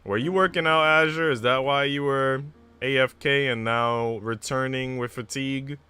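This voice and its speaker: A man livestreaming a visual novel, moving between casual, chatty musing and expressive story narration. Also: medium pitch, moderate pace, clean tone. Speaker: streamer reading a visual novel aloud. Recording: music playing behind the voice. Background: background music.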